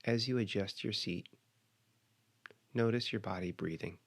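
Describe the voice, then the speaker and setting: A man leading a guided meditation, giving measured, instructional cues with pauses between phrases. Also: lowish voice, vocal fry.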